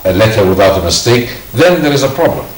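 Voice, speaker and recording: A man hosting a call-in studio discussion. He talks briskly, cutting in and steering the conversation. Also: medium pitch, big pitch swings, far from the mic, a loud crowd. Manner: brisk, interjecting, steering the talk. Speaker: TV talk show host. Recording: echoey studio, voice off-mic with faint noise.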